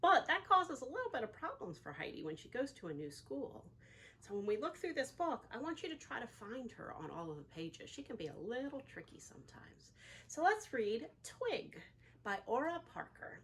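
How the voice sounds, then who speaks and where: A woman reading a picture book aloud for young children, with expressive, deliberate phrasing, as a library storytime reader. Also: on the high side, slightly rough.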